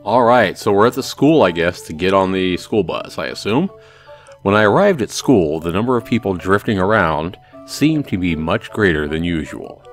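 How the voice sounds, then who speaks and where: A man's voice: deep voice, big pitch swings, normal speed. A man hosting a let's play, casually commenting and reading a visual novel aloud. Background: music.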